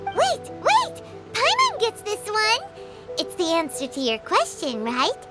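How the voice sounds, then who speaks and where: A woman voicing a tiny, excitable fairy-like girl companion in a game, eager and quick to blurt things out. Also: extremely high pitch, loud music behind the voice, exaggerated voice. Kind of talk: game voice acting.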